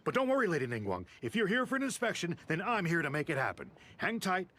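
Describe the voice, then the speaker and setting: Jittery, high-pitched male warehouse clerk. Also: wildly swooping pitch, fast, bright, forceful tone, a little hiss or hum, full character voice. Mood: eager. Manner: flustered, stammering. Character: nervous, eager-to-please male dockside warehouse clerk. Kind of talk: video game character acting.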